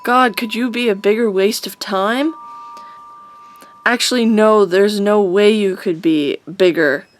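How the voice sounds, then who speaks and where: An actress playing a sharp-tongued, imperious, scornful teenage girl in an audio drama, with a snappy, cutting delivery. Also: medium pitch, a little slow, slight background noise, close to an everyday voice.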